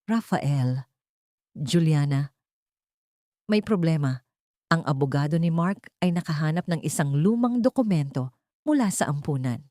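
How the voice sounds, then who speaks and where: A woman's voice: low voice, lively intonation, measured pace, pauses often, warm tone, no echo, clean studio sound. A woman voicing an old, wealthy father who speaks with worry and urgency, in a storybook reading. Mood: anxious. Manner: worried, urgent.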